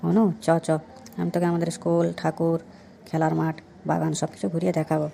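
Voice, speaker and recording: A woman's voice: low-pitched, monotone, a little fast, vocal fry, warm tone, slight background noise. A woman voicing a smug, argumentative schoolboy with a mouthy, dismissive manner in a comedy cartoon. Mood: eager.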